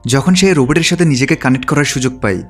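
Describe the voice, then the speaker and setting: A man's voice: mid-range voice, very fast, soft background music. A man reading a scripted film recap as a storytelling narrator.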